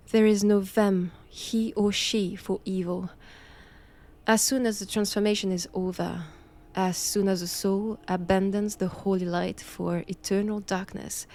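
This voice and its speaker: Woman doing a french accent nun who studies vampires, solemn and sure of herself, really slow n hushed, bit of creaky fry, roleplay audio. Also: mid-range voice. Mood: cold, severe. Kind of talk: intimate audio roleplay acting.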